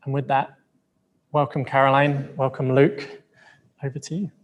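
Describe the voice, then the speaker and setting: A man reads a formal introduction at a middle pitch, measured and steady, before handing over to the speakers. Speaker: event presenter introducing speakers. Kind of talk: read introduction.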